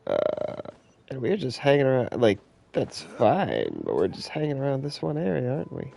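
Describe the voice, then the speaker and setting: A woman's voice: rumbling low, huge pitch swings, moderate pace, creaky, dark tone, a little hiss or hum. A woman giving casual live gameplay commentary in a dry, offhand manner. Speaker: game streamer.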